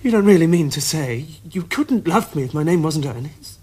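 A man's voice: fairly high pitch, expressive, moderate pace, warm tone, a little hiss or hum, natural voice. A young man with an upper-class English accent playing an earnest, nervous Victorian suitor in a period comedy, hesitant and formal. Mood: anxious delight. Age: young adult. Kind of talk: period stage comedy acting.

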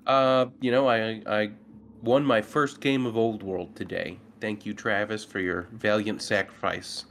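A man's voice: fairly low pitch, steady tone, a little slow, a bit bright, slight background noise. A man chatting casually as a player in a tabletop game. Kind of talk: casual chat.

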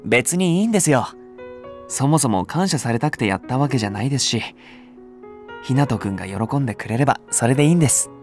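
An actor playing a gentle, patient young male nursery teacher, speaking in a soft, soothing way. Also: mid-range voice, expressive, fast, faint music behind, close to an everyday voice. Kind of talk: anime voice drama acting.

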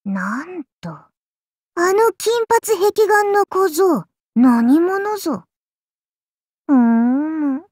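An imperious little oni god-child for an anime game, high-voiced and very animated. The delivery is slow and lordly, with archaic phrasing and a playful, indulgent haughtiness, like an ancient deity talking down to a pet. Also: female voice, dry recording, clean studio sound, heavily acted. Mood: curious, puzzled. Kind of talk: anime game character voice lines.

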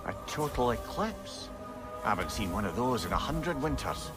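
An actor with a Scottish accent playing a wry, knowing older advisor in a video game cutscene. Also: male voice, mid-range voice, very animated, moderate pace, over music, close to an everyday voice. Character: wry, learned older male advisor, a severed head.

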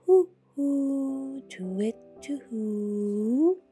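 A woman voicing a lonely, melancholic male owl in a children's storybook, with hooting, drawn-out singsong. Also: high-pitched, lively intonation, warm tone, voice pushed up, heavily acted. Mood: soothing melancholy. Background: background music.

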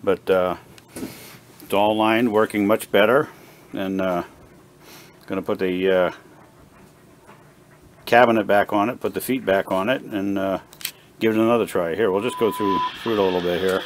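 A man giving a casual hands-on demonstration, thinking aloud in a halting, unhurried way. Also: fairly low pitch, fairly even intonation. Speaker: hobbyist radio repairer demonstrating equipment. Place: indoor home electronics workbench. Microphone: handheld camera mic. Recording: noisy handheld camera recording in workshop.

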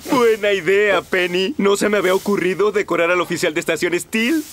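An actor with a Latin American Spanish accent playing a cheerful, playful young firefighter in a children's cartoon dub. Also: male voice, sits high, animated, normal speed, slight background noise. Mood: joking. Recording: loud background music.

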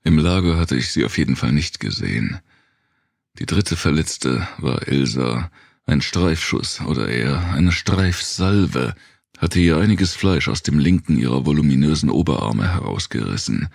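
A man narrating a dark post-apocalyptic thriller audiobook in the first person, reading from a script. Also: a bass voice, expressive, moderate pace, creaky, no room sound, clean studio sound.

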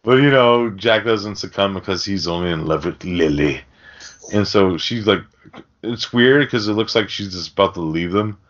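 A man with a low voice chats casually as a podcast host recapping a film. His talk is slangy and full of swearing. The recording is a little dull and lo-fi. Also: moderate pace, fairly bright tone.

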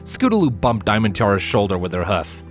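A man giving an expressive scripted narration of a story as its narrator. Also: on the low side, brisk, soft background music.

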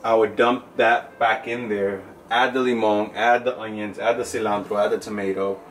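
A man's voice: on the low side, normal speed, soft background music. A young man from the Bronx with a New York accent, casually explaining a recipe step by step in a home kitchen. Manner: relaxed, laughs often, explains step by step. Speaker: cook showing a recipe. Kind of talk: casual cooking demonstration.

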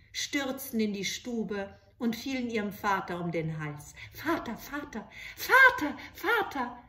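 A middle-aged woman narrating a fairy tale for children with expressive, dramatic and deliberate phrasing. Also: medium pitch. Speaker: storyteller. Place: small indoor room with bookshelves.

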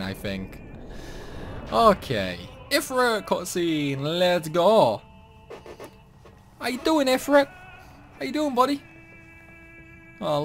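A man's voice: a little high, a bit bright. Chatty young man narrating gameplay. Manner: chatty, self-deprecating, exclaims at mistakes. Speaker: gameplay channel host. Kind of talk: casual game commentary.

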